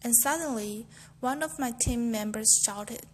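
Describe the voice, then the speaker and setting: A woman's voice: fairly high pitch, unhurried, slight background noise. A young woman, a university student, reciting a memorized speech in a careful, earnest storytelling way. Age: young adult.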